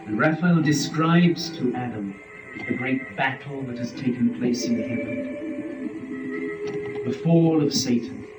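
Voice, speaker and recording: A man gives a dramatic literary reading at a very slow, measured pace, breaking phrases with weighty pauses. His pitch sits in the middle range, and he sounds set back from the microphone in a reverberant room. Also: music in the background. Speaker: performer and narrator of a poetry reading. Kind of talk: dramatic poetry recitation and spoken commentary. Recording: roomy live recording, distant from microphone.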